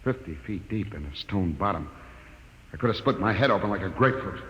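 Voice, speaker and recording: Blunt space crewman, bright, echoing voice. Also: sits low, animated, fairly quick. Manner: blunt, colloquial. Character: plain-spoken, excitable male spaceship crewman. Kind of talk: 1950s radio drama acting. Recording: reverberant, muffled old radio recording.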